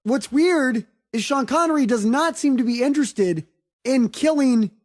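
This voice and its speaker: A man's voice: extremely high pitch, expressive, normal speed, darkish tone. Profane, joking man reviewing a movie. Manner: profane, jokey, breaks into mock accents. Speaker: podcast host. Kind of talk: casual movie review banter.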